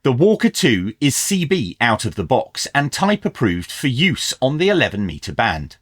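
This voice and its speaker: A man reads a scripted review as a presenter, with a mid-range voice that rises and falls in a lively way. His delivery is measured and clearly explained. The sound is close and dry. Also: mid-range voice, dry recording. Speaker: presenter of a radio review video channel.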